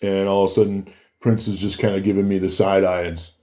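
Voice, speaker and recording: A man with a low-pitched voice, talking as a guest on a podcast. He tells stories in a casual, conversational way, slipping into short quoted exchanges as he recounts them. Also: flat delivery, fast talker, warm tone. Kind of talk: casual anecdotal storytelling.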